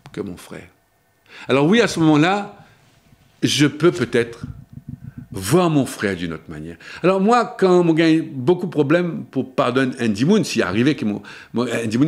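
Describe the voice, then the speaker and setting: An older man preaching a Lenten sermon in with a lilt. He talks to camera at a table microphone in a small studio, slowing down to stress key words and moving often between higher and lower pitches. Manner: shifts between spoken pitches, slowing for emphasis. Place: small studio before a blue backdrop, seated at a table. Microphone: table microphone on a stand.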